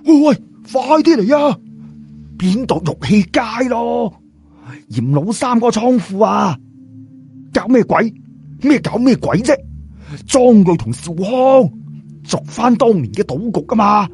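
Middle-aged market trader acted by a male storyteller, frantic, urgent phone caller, raised high voice, faint music behind. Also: very animated, creaky, heavily acted. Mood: frantic excitement. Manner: urgent, shouting, drawn-out calls.

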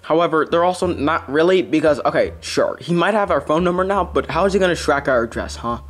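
A man plays a cocky older-brother hedgehog in a homemade plush skit. He talks casually and boastfully, with very lively pitch and a dismissive air. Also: a little fast, faint music behind. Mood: reassuring, dismissive. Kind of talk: amateur plush comedy skit.

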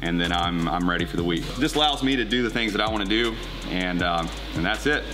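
Lower-voiced man chatting easily. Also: fast, over music. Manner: relaxed, rambling, joking. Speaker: fitness coach. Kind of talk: casual chat. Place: gym, indoors, at a counter.

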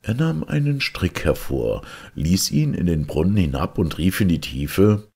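A man reading a fairy tale as a storyteller, in a dramatic, expressive and deliberate manner. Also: deep voice, vocal fry, breathy edge.

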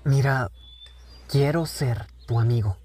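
Man as a confident, smooth-talking cartoon leader. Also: mid-range voice, slow pace, dark tone. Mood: persuasive. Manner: casual, persuasive, faintly smug. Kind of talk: animated fan dub acting.

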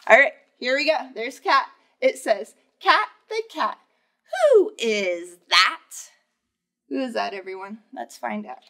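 Young American woman, high voice, unhurried children's librarian, animated and encouraging. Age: young adult. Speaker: children's librarian, storytime host. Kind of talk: children's storytime presentation and read-aloud. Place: indoors in a library, seated before bookshelves.

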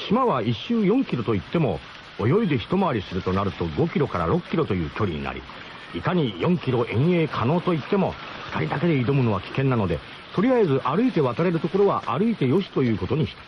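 A man's voice: mid-range voice, sing-song, a little fast, lo-fi sound, a little hiss or hum. A man narrating a radio drama in the first person, with a literary storytelling delivery. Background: music.

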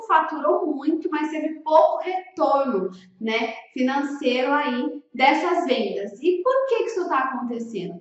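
A Brazilian woman in her thirties giving an emphatic, didactic instructional talk to camera as a consultant, often posing rhetorical questions. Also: high voice. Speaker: food-service consultant and video host. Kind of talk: instructional vlog addressed to restaurant owners. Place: small indoor room with bookshelf. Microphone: large microphone with pop filter in front of her. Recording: echoey room, distant, slightly dull sound.

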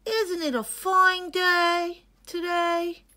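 A woman voicing a gentle young male hippo who is polite and sad, in a high, strained voice. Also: level tone, very slow, full character voice. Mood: puzzled.

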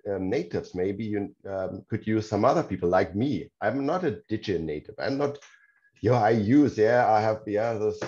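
A man's voice: fairly low pitch, mellow, lo-fi sound. A man with a German accent, a non-native English speaker, joining an online meetup discussion as an audience member and searching hesitantly for words. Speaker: audience member asking a question.

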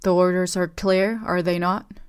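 A cold young male villain issues orders in a calm, flat, menacing tone, as a woman reader puts him on. His threats come out even and detached, as if nothing matters, in a storybook reading. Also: lowish voice, moderate pace, close to an everyday voice.

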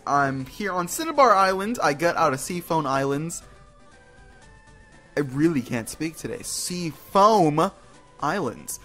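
A man's voice: on the high side, a bit bright. A man hosting a casual video game playthrough, rambling, exclaiming and poking fun at himself as he goes. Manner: rambling, self-mocking, exclaiming. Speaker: gaming video host. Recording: music behind the voice. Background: video game music.

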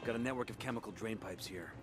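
A male actor voices a businesslike soldier in a tactical video game. His voice sits a little low, and loud music plays behind it. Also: quick pace, natural voice. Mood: focused, terse. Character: businesslike special forces soldier on a mission.

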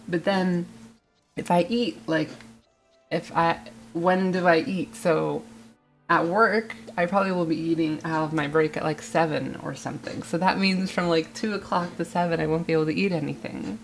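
A woman streamer chatting casually while playing, rambling and thinking aloud with lots of ums and small laughs, a bit sniffly. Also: fairly low pitch. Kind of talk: casual gaming livestream chat.

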